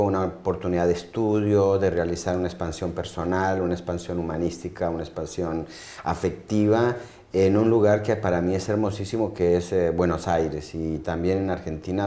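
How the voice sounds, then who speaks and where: A low-pitched man in his fifties from Colombia, speaking as a neurosurgeon guest in an interview. His voice is mellow and soft-edged, and he pauses often and repeats words while he searches for the next one. The sound carries a roomy echo. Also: fairly even intonation. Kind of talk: interview answers.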